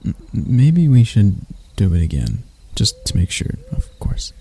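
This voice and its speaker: A man playing a proud elf prince in a roleplay ASMR, speaking in a measured, haughty and intimate way to the listener. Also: on the low side, sing-song, vocal fry, dark tone, slightly rough, full character voice. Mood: flustered, tender. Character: proud elf prince, stern captor softening into bashfulness.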